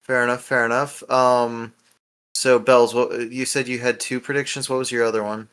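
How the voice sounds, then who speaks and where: A man with a mid-pitched, notably bright voice hosts a casual podcast. He talks informally and keeps prompting the other speakers with questions. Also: flat delivery, moderate pace, smooth. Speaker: podcast host.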